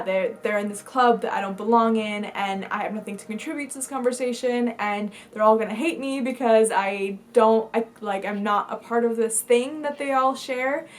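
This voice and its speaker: A young woman from Bulgaria, a lifestyle vlogger talking casually to camera, chatty, with lots of fillers and restarts. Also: fairly high pitch.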